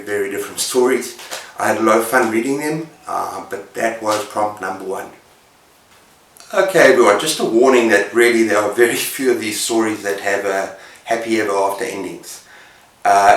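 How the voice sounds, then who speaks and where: Deep-voiced man storytelling in an echoey room. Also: a bit bright. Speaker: book reviewer on a video channel. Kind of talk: casual story retelling to camera. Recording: roomy echo, distant microphone.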